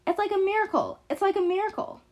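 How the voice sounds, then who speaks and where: Woman acting a squeaky old French woman, high-pitched. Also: animated, quick pace, a little mellow, muffled recording. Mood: excited delight. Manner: effusive, broken English. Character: bent, toothless old French-accented woman, tipsy and jolly. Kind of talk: audiobook character voice.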